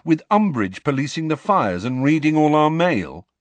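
Storybook role: downcast teenage boy, brusque, gruff, muttering, read by a man. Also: a little high, very animated, normal speed, no echo, voice pushed up. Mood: hopeless.

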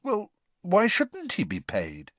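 Guy doing a curious boy wizard from a storybook, friendly, plain talking, pitch bounces around, dry sound. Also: huge pitch swings, slow pace, a bit bright, dry recording, heavily acted. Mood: puzzled. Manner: plain-spoken, questioning.

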